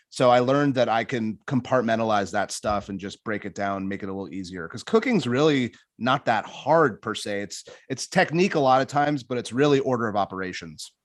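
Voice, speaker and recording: A man of ordinary pitch talks casually with friends, joking at his own expense. He laughs often. His voice is close and dry, with no room sound. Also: no room sound. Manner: laughing, joking, self-mocking. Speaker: guest, former competition show contestant. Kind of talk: casual group chat.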